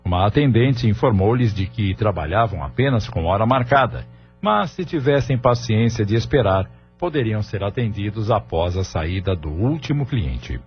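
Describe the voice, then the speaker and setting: A man's voice: fairly low pitch, lively intonation, normal speed. A man reading scripted narration for an audio drama as its narrator. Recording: muffled studio narration over music.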